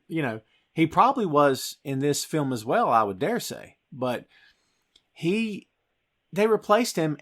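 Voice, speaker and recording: A middle-aged American man hosting a podcast, talking about film in a casual, emphatic, conversational way. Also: fairly high pitch. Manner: emphatic, conversational, addresses listener as "dude". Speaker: podcast host.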